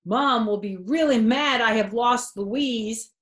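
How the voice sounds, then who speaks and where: A woman's voice: a little high, takes it slowly, a bit bright, voice pushed up. Woman doing Fox, a fretful boy fox. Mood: anxious. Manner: exclaiming, worried. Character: young boy fox, fretful big brother.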